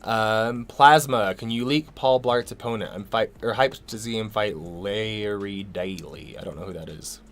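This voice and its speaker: A man co-hosting a casual livestream Q&A, hoarse from a cold and losing his voice, talking in a rambling way with many ums and restarts. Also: on the low side, unhurried, hardly any pauses, a bit bright. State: losing his voice, a little sick. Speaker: co-host of a livestream Q&A.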